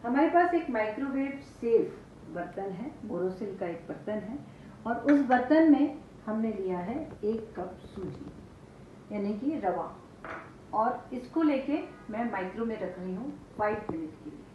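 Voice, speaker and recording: A woman's voice: medium pitch, lively intonation, unhurried. An older woman chef with an Indian accent, explaining a dish step by step to the camera. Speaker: chef, cooking show host. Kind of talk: cooking demonstration to camera. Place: home kitchen, indoors. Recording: echoey, muffled kitchen recording with noise.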